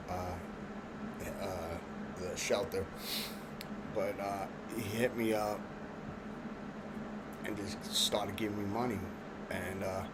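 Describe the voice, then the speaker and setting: A low-voiced man with a Long Island accent spins a loose, rambling story in street slang, peppered with "you know" and stumbles. Traffic and vehicle noise hum clearly behind him. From Long Island, New York. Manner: streetwise slang, filler-heavy, restarts, laughs at his own jokes. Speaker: vlogger telling a personal story.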